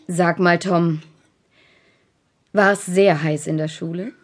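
A woman's voice: lowish voice, takes it slowly. A woman playing a strict but fond, moralizing aunt in a German children's audio drama. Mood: suspicious, probing. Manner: scolding, moralizing, expressive.

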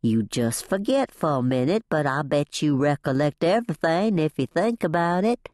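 A woman's voice: deep voice, expressive, normal speed, darkish tone, dry recording. Woman as folksy, motherly Southern housekeeper. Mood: soothing, coaxing. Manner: folksy, crooning, coaxing.

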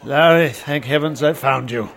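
A man's voice: on the high side, normal speed, vocal fry, fairly bright tone. A man acting a drained, fatalistic brother gripped by a curse, gloomy and pleading, in a radio drama. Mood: weary, evasive.

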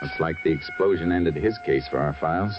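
A steady, deep-voiced Texas Ranger. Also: male voice. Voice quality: low, steady, measured. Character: steady Texas Ranger narrating his case. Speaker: narrator. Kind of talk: 1940s radio acting. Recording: muffled old radio broadcast with music.

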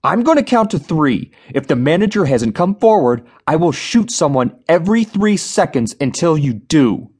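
A man's voice: mid-range voice, sing-song, a little slow, husky. A man doing a snarling gunman barking orders. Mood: threatening. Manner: shouting, vulgar, commanding. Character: violent male bank robber yelling orders.

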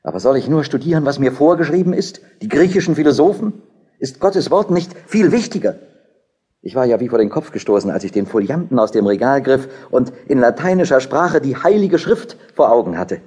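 An actor playing a devout, questioning young German student in a historical audio drama, speaking in an earnest, declamatory way. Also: male voice, mid-range voice, sing-song, fairly quick, mellow, slightly rough. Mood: earnest, eager.

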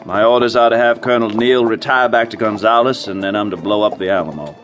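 An actor playing a gruff, commanding frontier colonel in a radio drama, speaking curtly and decisively. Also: male voice, on the low side, fast, fairly bright tone, soft background music, close to an everyday voice. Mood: stern, resolute. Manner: curt, commanding.

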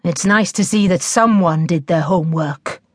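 A woman's voice: on the low side, moderate pace, no echo, studio quality, lightly acted. A woman voicing a weary, exasperated middle-aged male history teacher in a storybook, stern and sarcastic.

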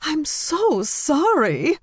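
A woman's voice: on the low side, very animated, slow, no echo, exaggerated voice. A gossipy, middle-aged society woman who has had too much wine, as played by a female audiobook narrator. She drags out her slurred words in an effusive, nosy way, in a close, dry recording. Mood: dismayed, apologetic. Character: tipsy, gossipy, giggling middle-aged Canadian socialite woman.

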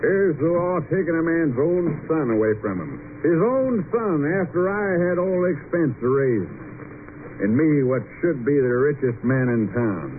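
A man's voice: fairly low pitch, lively intonation, unhurried, long pauses, narrow, tinny audio. Radio play casting: a bitter, drunken rural American father, menacing and hectoring, on a thin, slightly noisy vintage recording. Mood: drunken ranting. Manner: menacing, badgering, rustic folksy speech. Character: bullying drunken backwoods father, middle-aged, bitter and threatening. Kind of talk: old-time radio drama acting.

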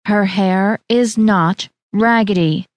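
A woman voicing a blunt, quick-tempered young bride who speaks curtly and snappishly. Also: mid-range voice, no room sound, natural voice. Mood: defiant. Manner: curt, snappish.

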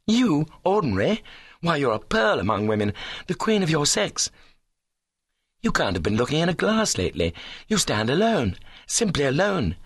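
Man voicing lovestruck young chap, breezy, slangy, eager, animated pitch, dry sound, comic storybook. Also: normal speed, husky, dry recording, exaggerated voice. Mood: ardent. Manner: breezy, earnest, indignant when rebuffed. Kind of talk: comic short-story reading.

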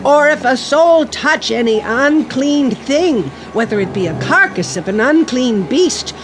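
A woman's voice: high-pitched, lively intonation, a little slow. An actress playing a fervent, pushy widowed religious zealot in a radio drama, preachy and insistent as she corners a stranger. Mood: zealous, insistent. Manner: preachy, insistent, scripture-quoting. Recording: radio drama with music behind.

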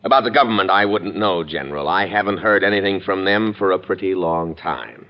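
An actor playing a calm, skeptical, shrewd mayor in classic radio drama, speaking in a measured, formal, dryly ironic way. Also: male voice, low voice. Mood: dry, firm. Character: calm, wry, skeptical small-city mayor, civil but shrewd. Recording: dull vintage radio recording.

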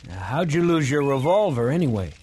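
A man's voice: mid-range voice, big pitch swings, normal speed, darkish tone, a little hiss or hum, lightly acted. An actor playing a friendly, eager cowhand in a western audio drama, inquisitive in his talk. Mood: curious. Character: friendly, eager cowhand who cooks for camp.

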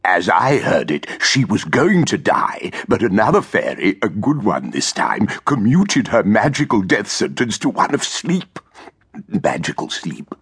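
Fat-faced tavern gossip, gravelly, husky, animated, blustering. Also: male voice, fairly low pitch, measured pace, full character voice. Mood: storytelling. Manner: blustering, opinionated.

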